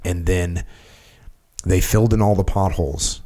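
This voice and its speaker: Low-voiced American man hosting a talk. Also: steady tone, a little slow, warm tone. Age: middle-aged. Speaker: podcast host. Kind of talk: casual conversation, war reminiscing with some reading aloud.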